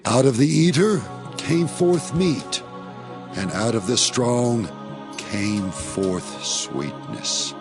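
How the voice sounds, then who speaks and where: A man playing a proud, vengeful strongman in a dramatized scripture reading, declaiming deliberately in archaic English. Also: mid-range voice, big pitch swings, music in the background, full character voice. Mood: boastful, challenging.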